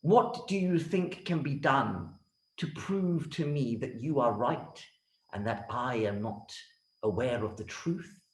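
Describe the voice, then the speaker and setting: A man's voice: medium pitch, lively intonation, slow pace, voice pushed down. A man voicing a pompous, easily flustered king in a children's story, effusive and theatrical. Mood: upset. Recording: roomy, echoey room sound.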